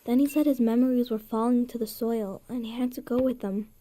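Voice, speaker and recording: A woman voicing a soft-spoken grieving boy. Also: a little high, fairly even intonation, brisk, close to an everyday voice. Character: young boy grieving his late father.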